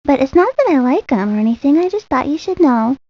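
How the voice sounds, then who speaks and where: A woman's voice: sits high, very animated, a little fast, a little mellow. A woman voicing a sweet little ballerina girl in a cartoon video game, girlish and confiding, rambling like she shares secrets. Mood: coy, confiding. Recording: dull lo-fi 1990s game audio.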